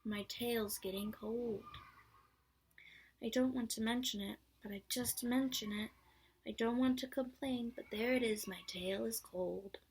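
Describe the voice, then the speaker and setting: A woman voicing a gloomy old male donkey in a storybook reading, slow and grumbling, in a husky whisper. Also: a little high, fairly even intonation, darkish tone, full character voice, rough recording.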